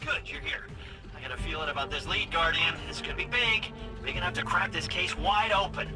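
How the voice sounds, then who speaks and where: A keen male investigator, lively upbeat voice over game music. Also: high-pitched, a little fast. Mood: eager. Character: eager male investigator chasing leads.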